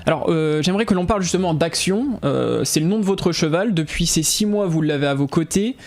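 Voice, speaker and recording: A man's voice: on the high side, expressive, brisk, darkish tone. A man hosting an interview, asking prepared questions in a polite, formal manner. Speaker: radio interviewer, host.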